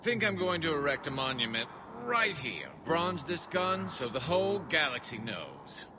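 A man voicing a wry, cocky yet tender alien soldier in a video game, with a gravelly, faintly metallic resonance and a dry, teasing delivery. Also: fairly high pitch, very animated, moderate pace, noisy background. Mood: cocky, boastful. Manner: dry, teasing, laid-back.

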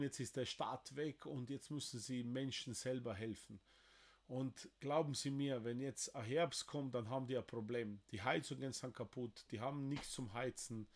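A man in his forties from Slovenia, speaking German with a Slovenian accent, hosting a livestream from a wood-panelled room with halting, emotional talk full of fillers. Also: mid-range voice. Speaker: livestream host. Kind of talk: livestream appeal to viewers.

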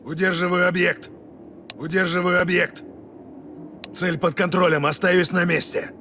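Gruff male special forces soldier, video game callouts, high-pitched for a man, commanding, clipped urgent phrases, faint background noise. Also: flat delivery, unhurried, bright, forceful tone. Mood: focused. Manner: terse, urgent, barked military callouts.

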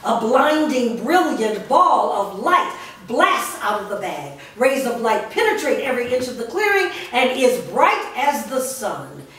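A woman reading the stage directions aloud in a staged reading, with steady, clear narration. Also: a little high, measured pace, hardly any pauses. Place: large studio room with actors in a circle. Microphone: far camera. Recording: roomy echo from far off.

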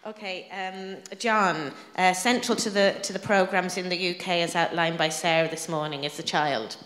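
A woman's voice: on the low side, moderate pace, a bit bright. A woman chairing a panel discussion, asking polite, probing follow-up questions. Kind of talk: moderating a panel. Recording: echoey hall with long reverb.

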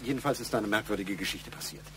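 A man's voice: on the low side, quick pace, noisy background, lightly acted. An actor playing an insistent middle-aged boss who questions his employee pointedly in a crime radio play. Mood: probing, matter-of-fact. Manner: pointed, probing, matter-of-fact. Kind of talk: German radio crime drama acting.

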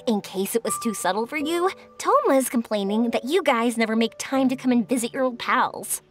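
A bright, high and bubbly young woman, as an actress plays her, teasing friends with a lively, bouncing lilt. She is a cheerful game character with faint music behind her. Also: normal speed, close to an everyday voice. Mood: upbeat. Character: cheerful young fireworks-maker girl, bubbly and playful. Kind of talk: video game voice acting.

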